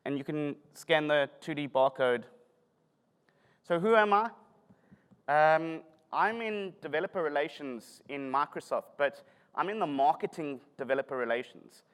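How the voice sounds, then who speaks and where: A man's voice: on the high side, lively intonation, a little slow, fairly bright tone, clean tone. A middle-aged man with a South African accent giving a self-deprecating, joking conference talk. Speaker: conference speaker. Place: conference hall. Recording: long hall reverb.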